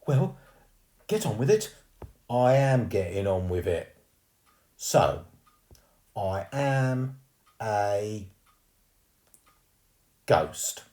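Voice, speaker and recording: A man voicing a rough, cocky boy ghost with a Cockney accent, teasing and slangy. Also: lowish voice, drawn-out words, pauses often, a little mellow. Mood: impatient.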